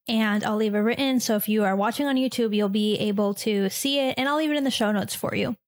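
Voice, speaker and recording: Brief: young woman, podcast host, rambling and chatty, slightly high voice. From born in Chile, raised in the US. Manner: chatty, rambling, many "like"s and restarts. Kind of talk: casual solo podcast answering a listener.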